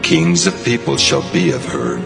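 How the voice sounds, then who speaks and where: A man acting almighty deity, solemn, declamatory, heavy rasping creak, sweeping intonation, music underneath. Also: lowish voice, wildly swooping pitch, normal speed, heavily acted. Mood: solemn promise. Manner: grand, declamatory. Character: God, solemn majestic male deity giving promises. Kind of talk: dramatized scripture acting.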